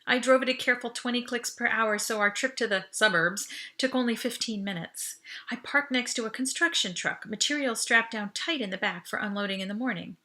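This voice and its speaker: A woman reading an audiobook aloud in expressive first-person story narration. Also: fairly high pitch, fairly quick.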